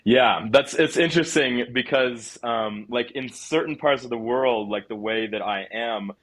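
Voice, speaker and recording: Casual, loud-natured male podcast host. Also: mid-range voice, unhurried. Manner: casual, filler-heavy, calls himself loud. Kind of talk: casual conversational interview.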